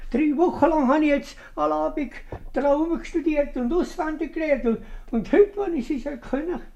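A man playing a pompous, flustered judge with a Swiss German accent, halting and stammering in a 1950s radio comedy. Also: very high-pitched, vocal fry, darkish tone, lo-fi sound, full character voice. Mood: flustered, muttering. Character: pompous, flustered male judge rehearsing a moralising speech.

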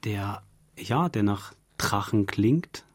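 A man's voice: lowish voice, animated, slow pace, breathy edge, no echo. A man speaking as a radio drama director in an interview, thinking aloud in long, loose sentences. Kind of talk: conversational explaining in interview. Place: dry radio studio.

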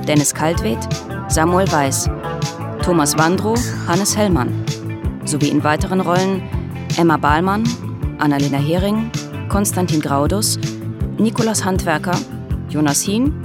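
Low-voiced female radio announcer. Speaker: credits announcer. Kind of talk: scripted radio drama credits reading.

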